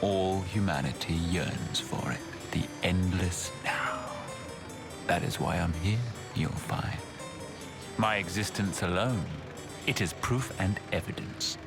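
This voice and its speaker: An actor playing a solemn godlike male villain in a game cutscene, pompous, measured and condescending. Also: deep voice, creaky, exaggerated voice. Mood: solemn, preaching. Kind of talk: theatrical game cutscene acting. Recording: loud orchestral music behind.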